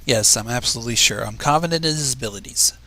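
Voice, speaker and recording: Guy doing a young girl unicorn student, earnest and sure of herself, bright creaky voice, storybook read. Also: mid-range voice, quick pace, voice pushed down. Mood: earnest, confident.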